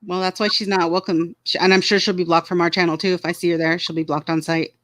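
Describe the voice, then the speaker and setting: A woman's voice: lowish voice, flat delivery, fast talker, a bit bright, no room sound. A woman with a Southern American accent co-hosting a casual chat, candid and laughing often as she talks straight to commenters. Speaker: co-host of a chat stream.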